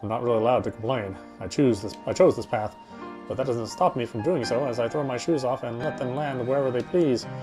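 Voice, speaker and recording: A man's voice: mid-range voice, fairly quick, over music. A man reading first-person story narration aloud in a dramatic way as he plays a visual novel.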